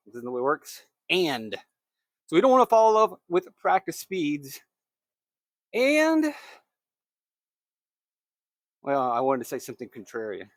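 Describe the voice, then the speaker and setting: A man's voice: on the high side, fairly bright tone, dry recording. A man hosting a solo fantasy racing picks show, talking in a conversational, emphatic way that corrects itself, his throat a little scratchy.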